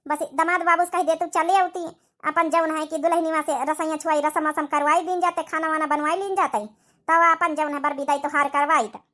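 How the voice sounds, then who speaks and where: A woman's voice: high voice, fairly even intonation, fairly quick, bright, forceful tone. A woman voicing a fretful, peacemaking village mother-in-law in a comedy cartoon, coaxing and rustic, with an Awadhi accent.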